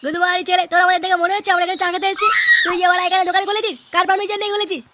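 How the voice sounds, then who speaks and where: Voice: very high voice, flat delivery, racing, heavy background noise. A performer voicing a brash, foul-mouthed male street gangster in an adult comedy cartoon, cursing and bullying. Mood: threatening.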